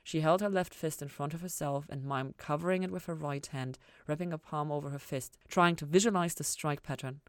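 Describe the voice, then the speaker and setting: A woman narrates scripted fiction. Her voice sits low for a woman. The sound is dry, with almost no room around it. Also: brisk, few pauses, dry recording. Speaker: fan fiction reader, podcast host.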